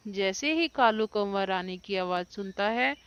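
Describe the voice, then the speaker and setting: A woman's voice: medium pitch, unhurried, a bit bright, clear, resonant tone, lo-fi sound. A woman narrating a scripted children's story.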